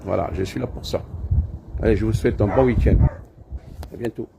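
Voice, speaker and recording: A man's voice: on the low side, a little fast, vocal fry. A man, an IT trainer, giving an informal promotional address in hesitant, repetitive phrases. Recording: faint background noise.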